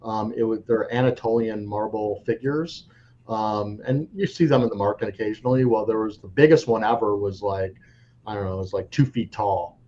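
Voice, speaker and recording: A man's voice: fairly low pitch, moderate pace, warm tone. A man speaking as a coin dealer guest in an interview, frank and rambling, often restarting his sentences. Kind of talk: casual interview talk. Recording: dull lo-fi audio with faint noise.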